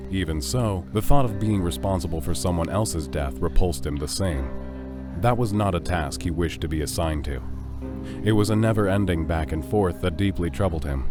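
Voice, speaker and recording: Low-voiced male story narrator over music. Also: moderate pace. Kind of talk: scripted fantasy story narration.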